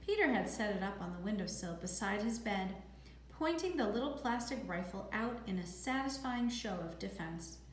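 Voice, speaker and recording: A woman reading a children's novel aloud as a teacher would, in expressive storybook narration. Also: mid-range voice, normal speed. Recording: echoey room, faint background noise.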